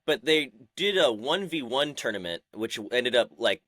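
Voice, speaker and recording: Excitable male co-host, bright forceful tone, dry close sound. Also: medium pitch, moderate pace, no room sound. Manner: chatty, excitable, loose. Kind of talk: casual podcast chat.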